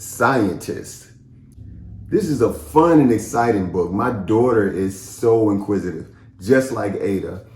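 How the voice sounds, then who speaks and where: Male kids' read-aloud host: unhurried, very expressive, playful, talks directly to the child audience. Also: lowish voice, reverberant. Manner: playful, addresses listeners directly with questions. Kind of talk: animated children's read-aloud and casual chat to young viewers.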